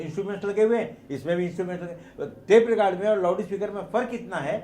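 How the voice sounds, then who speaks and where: A man's voice: sits high, darkish tone, a little hiss or hum. A man making an argument off the cuff, emphatic and repeating phrases for weight, recorded in an echoey room. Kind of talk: explanatory argument, spoken off the cuff.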